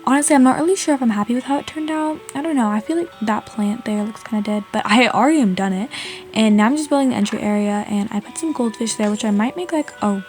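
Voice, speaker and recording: A woman's voice: fairly high pitch, fast, a little mellow. A woman from Canada giving a casual, chatty game voice-over in an informal, indecisive way. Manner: informal, indecisive, frequent "like" and "honestly". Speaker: video creator narrating a game build. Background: background music.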